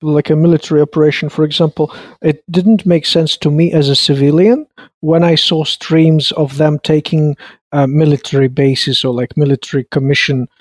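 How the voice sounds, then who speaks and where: Man, slightly raised pitch, earnest, civilian account, dry sound. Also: little pitch movement, moderate pace, dry recording. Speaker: interviewee. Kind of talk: personal account in conversation.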